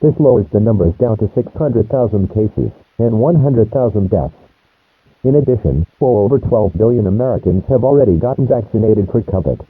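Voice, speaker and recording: Government announcer, played by a man: lowish male voice, formal and grave, scripted emergency message, narrow muffled broadcast sound. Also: lively intonation, a little fast, husky, no echo. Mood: solemn, official. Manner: formal, solemn. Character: solemn government announcer reading a presidential emergency note.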